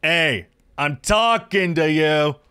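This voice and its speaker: Male teen bully for visual novel, played by an adult man: raised pitch, slow taunts, loud and cruel. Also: clean tone. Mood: threatening. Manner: taunting, drawn-out, forceful delivery. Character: menacing, mocking teenage male school bully. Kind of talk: visual novel character voice-over.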